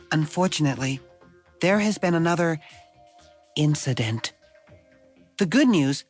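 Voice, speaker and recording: A man playing an earnest, bewildered young alien schoolboy, reading a letter aloud in formal, careful phrasing for an audiobook. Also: high voice.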